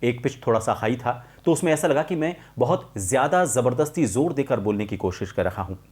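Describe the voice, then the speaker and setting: A man presenting an instructional tutorial as a voice coach, explaining in a measured, emphatic way and repeating key points. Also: medium pitch, expressive, a little mellow.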